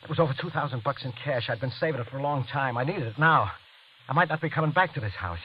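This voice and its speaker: Man acting a fast-talking, haunted radio thriller fugitive. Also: medium pitch, lively intonation, background noise, lightly acted. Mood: haunted, brooding. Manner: tense, hurried, stammering when questioned. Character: guilty, panicky man on the run after a killing. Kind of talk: 1940s-50s radio acting, first-person thriller narration. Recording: dull old radio broadcast with music.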